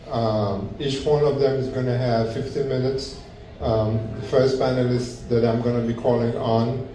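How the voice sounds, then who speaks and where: Echoey, distant male forum moderator, mellow voice. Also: medium pitch. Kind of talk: introducing a panelist to an audience. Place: large echoey indoor event room. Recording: echoey room from far off, faint noise.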